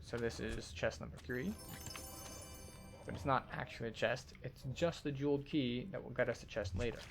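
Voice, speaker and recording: A man's voice: medium pitch, steady tone, normal speed, gravelly. Explanatory man guiding a game over music. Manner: explanatory, matter-of-fact. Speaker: game tour guide. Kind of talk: game walkthrough commentary.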